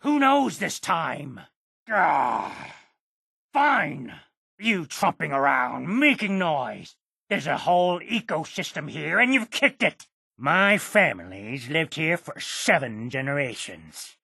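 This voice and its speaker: Grumpy old hermit for a video game, raspy, sharp edged, sarcastic and stubborn, real animated. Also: male voice, on the high side, slow pace, no room sound, clean studio sound, full character voice. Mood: alarmed, angry. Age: elderly. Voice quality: gravelly, raspy. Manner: ornery, sarcastic, indignant. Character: stubborn, cantankerous old hermit uncle guarding his homestead. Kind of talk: video game character dialogue.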